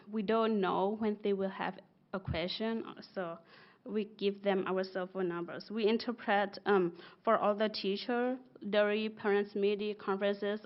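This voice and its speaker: A young woman whose first language is Karen, giving a soft-spoken, halting presentation as a bilingual school success coach. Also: mid-range voice, highs cut off. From Burma. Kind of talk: prepared presentation.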